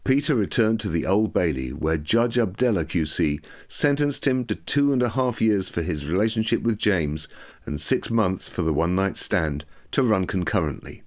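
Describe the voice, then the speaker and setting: Low guy reading a serious audiobook, measured n clearly worded, expressive. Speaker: audiobook narrator. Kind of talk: scripted documentary narration.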